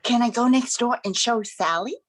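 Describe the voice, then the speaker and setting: A woman's voice: on the high side, normal speed, pitched below the performer's own voice, natural voice. A woman voicing an innocent, polite eight-year-old girl in an amateur radio play. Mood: sweet, eager.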